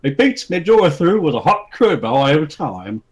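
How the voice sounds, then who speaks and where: A man's voice: fairly high pitch, big pitch swings, moderate pace, husky, voice pushed up. A man voicing crude, belligerent hooligans in a comic story, hurling insults in mangled slang. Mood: aggrieved. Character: two brawling loutish hooligans, crude and belligerent.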